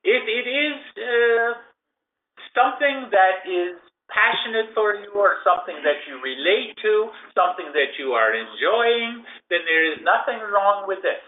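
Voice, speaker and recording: A man channeling a spirit, giving spiritual guidance in a formal, unhurried way and speaking of himself as "we". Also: high-pitched, animated. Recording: echoey, tinny phone-like recording.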